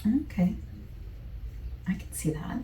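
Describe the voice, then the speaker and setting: A woman playing a friendly, easygoing survey taker in a softspoken, partly whispered ASMR roleplay, with gentle, chatty asides. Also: slow, reverberant, far from the mic, slight background noise, lightly acted. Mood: gentle, casual. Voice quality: soft, breathy, partly whispered.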